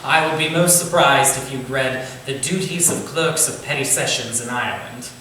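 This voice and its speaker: An actor playing a genial, courtly Victorian gentleman writer with a formal, eloquent, theatrical way of talking, in a staged reading. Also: mid-range voice, moderate pace, lightly acted. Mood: genial, proud. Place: indoor staged reading, distant microphone. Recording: very echoey room from far off, faint noise.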